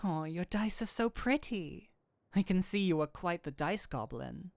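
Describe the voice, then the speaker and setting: A woman voicing a flirtatious incubus demon who is secretly an excitable nerd, in a scripted roleplay with theatrical, formal phrasing that slips into flustered gushing. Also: fairly low pitch, a little slow, no room sound. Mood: gushing, delighted. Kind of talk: scripted roleplay audio addressed to the listener.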